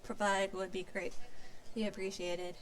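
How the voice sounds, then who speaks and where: A woman's voice: medium pitch, level tone, unhurried, a little hiss or hum. A woman streamer reading fantasy game story dialogue aloud in a theatrical, formal delivery. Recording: music playing behind the voice.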